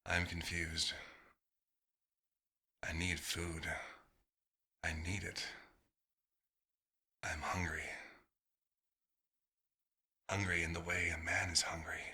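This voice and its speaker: A man's voice: low voice, level tone, takes it slowly, long pauses, sharp tone, exaggerated voice. A man playing a bewildered, hungry vampire doctor turned human, speaking formally and hesitantly in an audio roleplay. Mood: confused, hungry.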